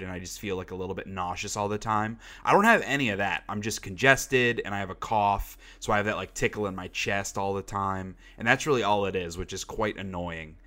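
A man's voice: deep voice, pushed and bright. A young man hosting a casual podcast, congested from a cold and rambling with lots of fillers. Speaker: podcast host. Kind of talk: casual chat.

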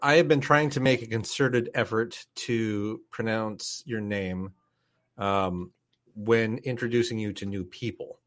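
A man's voice: on the low side, steady tone, unhurried, fairly bright tone. A man co-hosting a podcast in an easygoing, slightly hesitant way. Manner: easygoing, a little hesitant, small restarts. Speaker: podcast co-host. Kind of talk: casual podcast banter. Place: back room of a game store.

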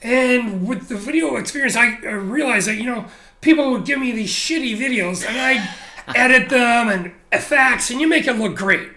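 A man being interviewed, talking casually and candidly about his career, animated and blunt with frequent restarts. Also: extremely high pitch, normal speed, reverberant. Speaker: interview guest, photographer. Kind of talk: casual conversational storytelling.

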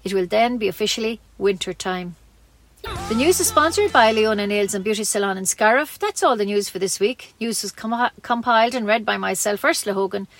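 A woman's voice: medium pitch, brisk. An Irish woman reading scripted local news as a community radio newsreader.

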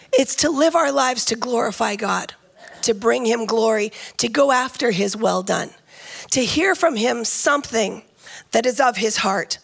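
A woman's voice: a little high, gravelly, breathy edge. A woman preaching a sermon, earnest and emphatic, often repeating phrases as she builds a point.

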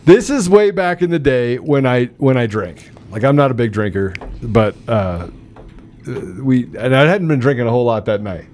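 A middle-aged man telling personal stories in a casual, colloquial talk-show chat, animated in his delivery. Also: mid-range voice, normal speed. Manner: animated, colloquial storytelling with sound effects.

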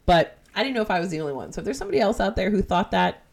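A woman with a New Jersey accent, hosting a podcast and retelling a ghost-hunting episode in animated, profane casual chat. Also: mid-range voice, very fast, gravelly. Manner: animated, profane, jumps into quoted voices. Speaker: podcast host.

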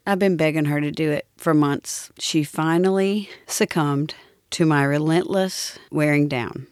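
A woman's voice: low-pitched, measured pace, darkish tone, no echo, studio quality. A woman hosting a podcast in a casual, chatty style. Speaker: podcast host. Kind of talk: casual chat.

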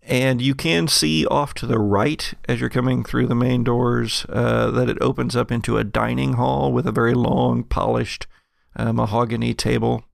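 A man narrating a tabletop game as game master, describing scenes in an improvised, halting way. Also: medium pitch, flat delivery, vocal fry, no echo, clean studio sound.

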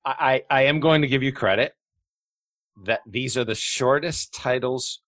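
A man's voice: medium pitch, normal speed, a bit bright, dry recording. A man hosts a casual podcast interview in a middling male register. He talks conversationally, repeating words as he goes, and the sound is close and dry with little room on it. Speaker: podcast host.

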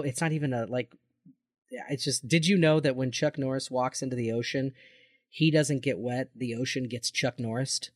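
A man's voice: mid-range voice, dry recording. American man chatting casually, close and dry. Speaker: podcast co-host. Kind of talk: casual chat.

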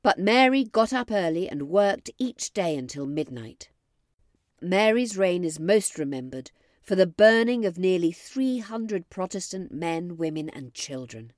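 A woman podcast host narrating scripted history for young listeners, with expressive storyteller phrasing. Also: fairly low pitch, measured pace, dry recording, studio quality.

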